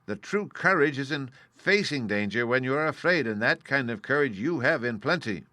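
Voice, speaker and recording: A man's voice: mid-range voice, expressive, moderate pace, bright, forceful tone, dry recording, pitched above the performer's own voice. A man reading aloud and voicing a meek, apologetic humbug wizard who admits he is no magician. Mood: confiding.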